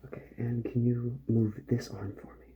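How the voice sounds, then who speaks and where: A man doing a soft-spoken ASMR roleplay as a calm, methodical repair doctor, musing gently to himself and often drifting into a whisper. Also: mid-range voice, normal speed. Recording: muffled audio with faint background noise.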